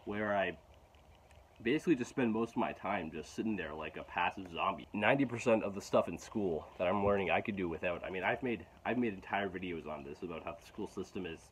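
A teenage boy rambling to his phone camera in a garden, frustrated and halting, with lots of restarts and fillers. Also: deep voice, slight background noise, poor audio. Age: teens. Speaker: vlogger. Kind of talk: casual rambling vlog talk. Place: outdoors in a garden. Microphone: phone camera at arm's length.

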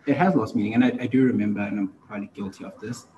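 A man's voice: lowish voice, racing, dark tone. A man in his twenties talking casually as a podcast co-host. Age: 20s. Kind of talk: casual conversational discussion. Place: indoor room, seated. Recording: slightly dull sound, faint background noise.

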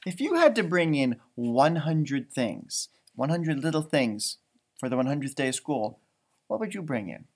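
Storybook reader, male, 30s. Expressive and chatty, highly varied intonation, stretches key words for kids. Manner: expressive, chatty, drawn-out emphasis. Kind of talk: animated read-aloud with casual asides.

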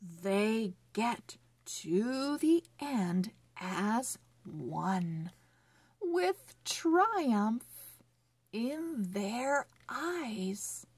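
A woman hosting a children's read-along phonics lesson, speaking in a sing-song, encouraging way with deliberate, exaggerated enunciation. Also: a little high, big pitch swings, darkish tone, breathy edge, dry recording.

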